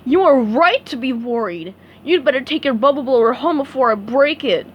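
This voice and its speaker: Woman doing a loud, clumsy starfish. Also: high-pitched, animated, normal speed, muffled recording, a little hiss or hum. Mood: sheepish. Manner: loud and emphatic. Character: big clumsy goofy male starfish.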